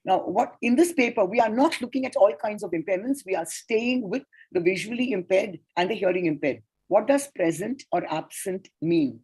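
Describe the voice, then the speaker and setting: A woman whose first language is Tamil, presenting an academic paper at an online conference in a measured, deliberate way. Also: mid-range voice, animated, darkish tone, husky, poor audio. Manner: measured, deliberate lecturing with enumerated points.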